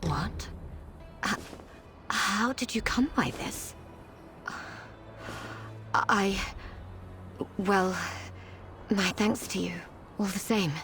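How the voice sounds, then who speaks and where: An actress playing a formal, guarded Taoist priestess in a game cutscene, hesitant and courteous. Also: female voice, medium pitch, drawn-out words, long pauses, noisy background. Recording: music behind.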